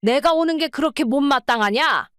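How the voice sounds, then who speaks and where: A woman's voice: sits high, expressive, normal speed, a bit bright, no echo, studio quality, pitched above the performer's own voice. A woman voicing a scornful older mother-in-law who scolds with accusing questions in a dramatized story. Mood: scornful nagging.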